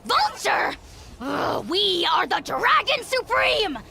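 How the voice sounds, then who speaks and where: A woman's voice: very high-pitched, very animated, slow pace, creaky, music in the background, heavily acted. A woman voicing a tiny, boastful male dragon spirit who calls himself "we" in a pompous, indignant way. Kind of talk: game cutscene dialogue.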